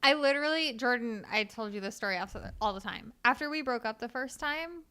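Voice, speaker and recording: Fast-talking woman with a clear voice. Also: on the high side, expressive, bright, forceful tone. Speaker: podcast panelist and quiz contestant. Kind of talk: casual chat.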